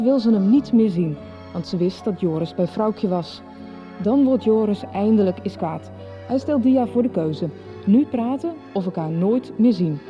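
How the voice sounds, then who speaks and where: Woman narrator, scripted radio soap recap, mid-pitched, slightly dull recording. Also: dark tone.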